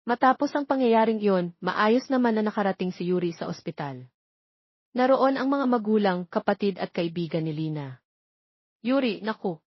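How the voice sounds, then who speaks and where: A woman's voice: mid-range voice, lively intonation, moderate pace, dry recording, lo-fi sound. A woman reading a scripted romance story as narrator, in a close voice-over.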